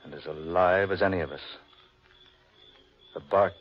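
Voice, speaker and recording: An actor playing a grave, fatalistic man lost in a haunted forest, ominous and deliberate, in 1940s radio horror style. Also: low-pitched, natural voice. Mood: grimly insistent. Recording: muffled, very noisy 1940s radio recording.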